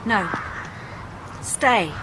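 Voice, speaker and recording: An actress playing a possessive, quietly commanding female ghost in an intimate radio horror drama. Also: drawn-out words, heavy background noise. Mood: coolly insistent.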